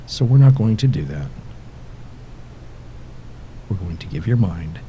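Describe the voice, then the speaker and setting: A man narrating a guided sleep hypnosis session in a soothing, measured way, pausing between short phrases and letting endings drop softly toward a whisper. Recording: steady background noise, low rumble and ticking. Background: ticking clock-like rhythm.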